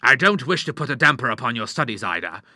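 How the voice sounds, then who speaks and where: A man's voice: medium pitch, very animated, fast, sharp tone, no room sound, clean studio sound, heavily acted. A man voicing a courteous, fussy middle-aged doctor and father who is easily ruffled, in an audiobook. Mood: strained patience. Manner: proper, courteous, exclamatory when vexed. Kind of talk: audiobook character voice.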